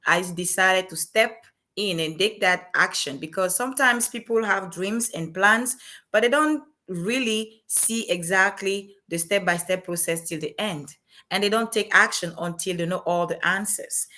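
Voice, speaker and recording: A woman's voice: medium pitch, a little slow, sharp tone. A young woman from Cameroon with a French-Cameroonian accent, speaking as an earnest, motivational guest on an interview show. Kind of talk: interview chat.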